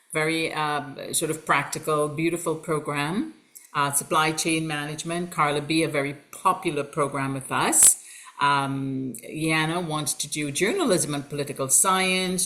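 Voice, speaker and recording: A woman's voice: low voice, fairly bright tone. A woman from Trinidad and Tobago, hosting an online information session as a college representative. She talks in an upbeat, welcoming way with frequent fillers, over a video call. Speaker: college recruitment representative and host.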